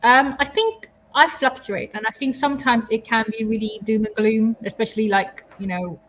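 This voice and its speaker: A woman's voice: fairly high pitch, moderate pace, fairly bright tone, slight background noise, low-quality recording. A young woman from Kenya, a marine biologist, talking thoughtfully and hesitantly in a casual livestream. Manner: thoughtful, hesitant, laughs often.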